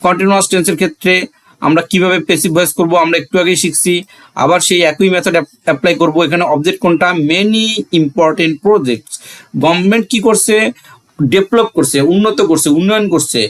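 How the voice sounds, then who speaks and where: A man's voice: sits high, fairly quick. A man with a Bangladeshi accent teaching a grammar lesson, asking questions and answering them himself, repeating phrases for emphasis.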